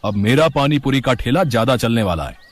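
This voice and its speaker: A man's voice: medium pitch, expressive, brisk, lightly acted. A man playing a cheating, bullying street vendor villain with a threatening, taunting manner in a story. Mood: taunting threat.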